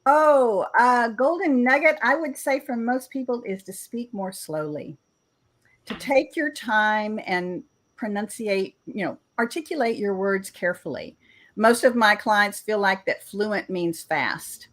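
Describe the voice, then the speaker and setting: A woman with a mid-range voice talks over a video call. She coaches English communication and explains her points lively and clearly in casual interview chat. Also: mid-range voice, a little slow.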